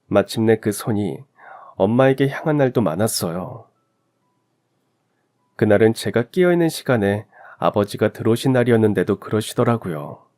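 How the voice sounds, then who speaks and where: A man's voice: on the low side, lively intonation, normal speed, darkish tone. A man reading a scripted first-person story in the style of a radio drama. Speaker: narrator of a story channel.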